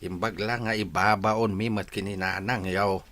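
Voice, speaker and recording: Guy doing old ilocano grandpa, lowish bright voice, wistful, drama skit. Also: little pitch movement, normal speed, lightly acted. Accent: Ilocano Filipino. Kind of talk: comedy drama acting.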